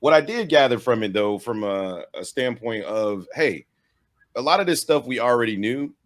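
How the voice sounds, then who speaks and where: A man's voice: mid-range voice, expressive, normal speed. A man co-hosting a show with casual, conversational commentary. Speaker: video show co-host. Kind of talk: casual reaction chat.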